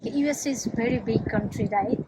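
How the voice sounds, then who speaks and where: High-voiced host asking simple questions. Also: female voice, moderate pace. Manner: simple, broken English questions. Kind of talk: interviewing. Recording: loud background noise.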